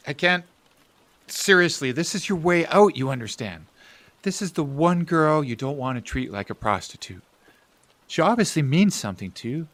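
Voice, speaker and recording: A man's voice: on the high side, a bit bright, dry recording. A man acting in an audio drama as a bitter, struggling family man and older brother, earnest and pressing. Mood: pleading.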